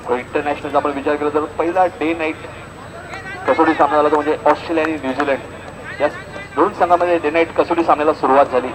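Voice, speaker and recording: A man's voice: fairly high pitch, fairly even intonation, fast talker. A man with a Maharashtrian accent giving live ball-by-ball cricket commentary from an outdoor ground. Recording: noisy outdoor sports ground recording.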